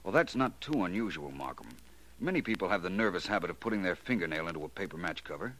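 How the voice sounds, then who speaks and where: A man's voice: sits low, lively intonation, fast, a bit bright, low-quality recording. A man acting a suave, confident amateur detective in a 1940s radio mystery, urbane and courteous in manner. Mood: assured, wry. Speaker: radio actor. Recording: vintage 1940s radio recording.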